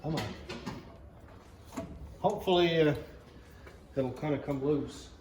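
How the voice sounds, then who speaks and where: A man's voice: a little high, slow, darkish tone, reverberant, slight background noise. A man chatting casually as he hosts a DIY car build vlog, thinking aloud and muttering to himself as he works.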